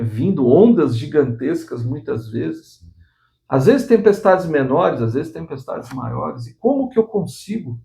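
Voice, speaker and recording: A man's voice: a little high, creaky. A man in his sixties hosting his own channel, giving an earnest motivational talk to the viewer, often restarting phrases and adding tag questions. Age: 60s. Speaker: channel host.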